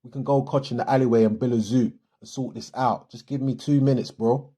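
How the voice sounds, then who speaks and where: A man voicing a laid-back young male lad in relaxed street slang. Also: medium pitch, brisk, slightly rough, natural voice.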